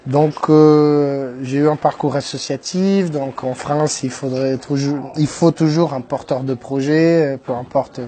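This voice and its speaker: A man's voice: fairly high pitch, steady tone, measured pace, hardly any pauses, warm tone, slight background noise. Hesitant man speaking French. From Brazil. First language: Portuguese. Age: 30s. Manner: hesitant, many fillers and restarts. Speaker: guest, entrepreneur. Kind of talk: radio interview, telling his life story.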